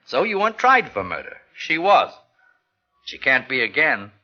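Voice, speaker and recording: A man's voice: medium pitch, wildly swooping pitch, normal speed, sharp tone, heavily acted. An actor playing a sly, mocking newspaper reporter who relishes his scoop, in 1940s radio drama style. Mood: knowing. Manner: mocking, insinuating, chuckling. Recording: dull, lo-fi vintage radio recording.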